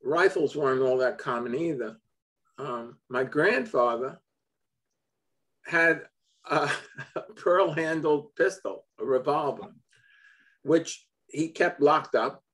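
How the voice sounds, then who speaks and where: A man's voice: a little high, slow, long pauses, a bit bright. A man in his seventies from Brooklyn, chatting casually on a video call from his home office and chuckling often. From Brooklyn, New York. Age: 70s. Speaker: host.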